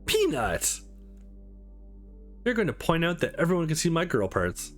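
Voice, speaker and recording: A man's voice: on the high side, huge pitch swings, a little slow, gravelly, fairly bright tone, faint music behind, pitched above the performer's own voice, exaggerated voice. A man voicing a cheeky, mischievous young woman in a visual novel, with a blunt, playful manner. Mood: gleeful.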